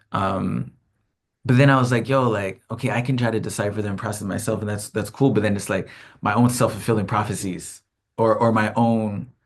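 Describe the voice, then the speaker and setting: Man, hip hop artist, casual vlog talk, slangy, self-reflective, laughs often, lower pitch.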